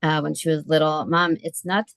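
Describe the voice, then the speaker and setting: A low, gravelly-edged woman's voice hosts a book event over a video call. She is chatty and loose, with plenty of fillers and easy laughs. The sound is dry and close. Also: flat delivery, normal speed, a bit bright, no echo. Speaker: event host and moderator. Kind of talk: casual moderating on a video call.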